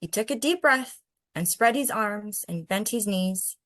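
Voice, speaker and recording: A woman's voice: mid-range voice, lively intonation, unhurried, fairly bright tone, no room sound. A woman who hosts read-alouds of picture books and chats about them in an encouraging way. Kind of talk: picture-book read-aloud and casual discussion.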